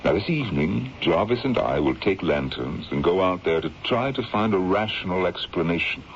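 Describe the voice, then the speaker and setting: Radio drama colonel: deep, rational, protective, measured authority; muffled vintage sound with clear hiss. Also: male voice, expressive. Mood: gentle, concerned. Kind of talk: old-time radio drama acting. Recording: dull, noisy old radio drama recording.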